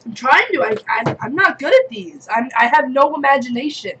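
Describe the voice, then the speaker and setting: A woman's voice: high voice, expressive, fairly quick, sharp tone, lo-fi sound. A woman on a podcast panel, chatting casually. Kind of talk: casual chat.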